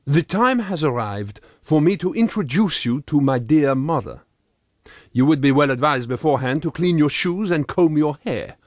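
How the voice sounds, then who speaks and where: A man's voice: on the high side, lively intonation, moderate pace, dry recording, studio quality. A man voicing an earnest, pompous thirty-eight-year-old Frenchman with a French accent, reading a comic audiobook diary in formal, quaintly wordy phrases. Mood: earnest, self-important.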